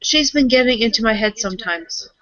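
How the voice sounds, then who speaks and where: A woman's voice: fairly high pitch, expressive, a little fast, fairly bright tone, dry recording, highs cut off. A woman playing in a tabletop roleplaying session, speaking casually in and out of character. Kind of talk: casual in-character game dialogue.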